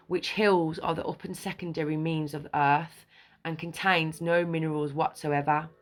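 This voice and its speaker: A woman reading an old newspaper article aloud, stumbling over archaic words and place names, then commenting on it with excited emphasis. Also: sits low. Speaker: video creator.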